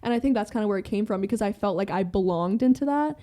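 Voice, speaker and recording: A young woman talks in a loose, rambling stream, leaning on "like" and dropping swear words. Her voice is mellow and warm rather than bright, with pitch sitting in a middle range for a woman. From American with Iranian family. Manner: fast rambling talk, heavy use of "like" and swearing. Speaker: podcast guest. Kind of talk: candid casual conversation.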